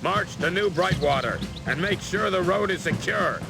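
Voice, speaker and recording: A man's voice: sits high, lively intonation, normal speed, gravelly, over music, full character voice, poor audio. An actor playing a stern, demanding male military commander in a fantasy game, giving authoritative, clipped orders. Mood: commanding. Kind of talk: dramatic fantasy game voice acting.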